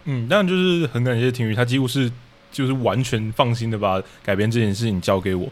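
Man, Taiwanese Mandarin accent, quick pace, middle pitch, analytical explainer, casual interview guest. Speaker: guest, radio drama director and adapter.

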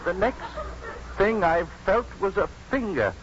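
A simple, earnest servant in a high voice. He tells things haltingly and humbly, in the manner of fifties radio drama. The recording is muffled, with faint noise. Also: lively intonation. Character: meek, dull-witted, soft-hearted middle-aged manservant, once hanged. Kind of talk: 1950s radio drama acting. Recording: dull, noisy 1950s radio recording.

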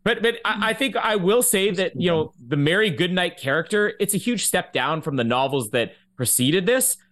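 A man's voice: high-pitched, expressive, moderate pace, a bit bright. A man chatting casually and wryly as a podcast co-host. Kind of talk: casual chat.

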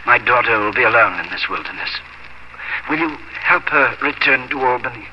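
A man's voice: mid-range voice, moderate pace, a little hiss or hum, natural voice. Man playing a weak, dying colonial father. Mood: pleading, earnest. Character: dying, gentle English colonial doctor and father. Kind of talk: 1950s radio drama acting. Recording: dull vintage radio recording with music.

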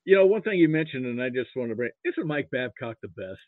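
A man chatting as a podcast guest in a modest, halting way, often repeating his words, on slightly dull audio. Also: medium pitch, big pitch swings, no room sound. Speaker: podcast guest, amateur sports archivist. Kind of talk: casual interview chat.

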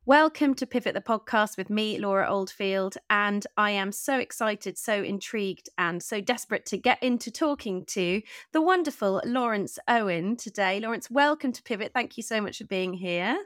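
A woman's voice: mid-range voice, moderate pace, hardly any pauses, a bit bright, no room sound, studio quality. A woman hosting a podcast, speaking in an effusive, enthusiastic way as she introduces and interviews. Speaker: podcast host.

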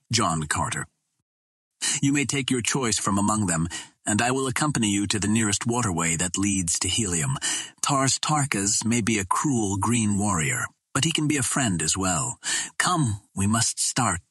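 A storybook alien war chief, grim and loyal , acted by a man narrating. The voice is low and husky, with solemn, formal phrasing in a dry recording. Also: a bit bright, no room sound. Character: grim, loyal male green Martian warrior chieftain.

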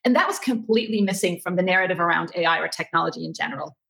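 A woman from Egypt giving conversational, animated answers as an interview guest. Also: lowish voice, very fast, gravelly. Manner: animated, expressive. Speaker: interview guest, tech company co-founder.

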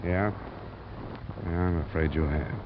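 A man's voice: low voice, steady tone, measured pace, heavy background noise. An American man playing a tough, world-weary insurance investigator in an old radio detective drama, speaking in a clipped, dry way. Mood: firm, probing. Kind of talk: 1940s-50s American radio acting, hardboiled narration and dialogue. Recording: muffled vintage radio recording, music behind.

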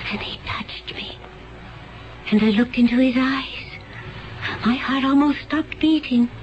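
A woman acting in a 1970s radio drama as a tense, earnest young woman who claims to come from another planet, intense, solemn and literal. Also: a little high. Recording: muffled, noisy 1970s radio broadcast recording.

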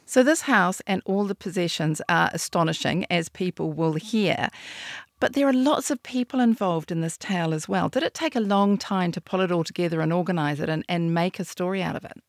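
Lower-voiced New woman hosting. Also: a little fast, no room sound, clean studio sound. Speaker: podcast host. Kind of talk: conversational interview.

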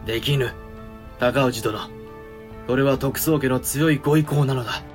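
A man acting a sober, authoritative samurai regent in a voice drama, formal, firm and measured. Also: mid-range voice, steady tone, soft background music, lightly acted. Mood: stern explaining. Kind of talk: voice drama acting in period Japanese.

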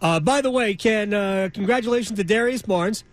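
High-voiced man hosting sports talk. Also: normal speed, no room sound. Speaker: sports talk host, interviewer. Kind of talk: casual sports talk interview.

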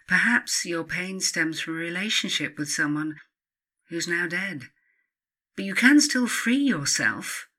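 A woman narrating a spiritual self-help book as its author, in a solemn, deliberate, incantatory way. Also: deep voice, bright, forceful tone. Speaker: author and podcast host reading their own book.

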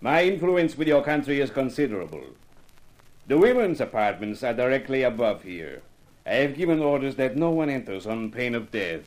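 A man's voice: mid-range voice, expressive, moderate pace, close to an everyday voice. A man playing an imperious, self-indulgent foreign prince who speaks formally in a 1950s radio drama.

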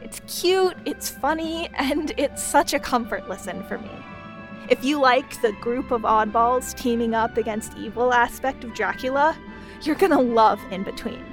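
A woman's voice: a little high, normal speed, music in the background. A woman giving a scripted promotional read as a podcast producer.

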